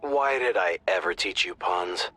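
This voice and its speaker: A man's voice: deep voice, a little slow, sharp tone, heavily acted. A man playing a dry, wisecracking intelligence officer of a tactical squad in a video game. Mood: wry, friendly. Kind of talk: scripted game dialogue. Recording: music behind the voice.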